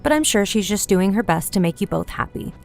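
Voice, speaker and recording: A woman's voice: mid-range voice, animated, a little fast, gravelly, darkish tone, soft background music. A woman playing a curious, teasing friend in a fantasy audiobook, speaking in a confiding, inquisitive way. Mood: musing, inquisitive. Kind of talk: fantasy audiobook dialogue over music.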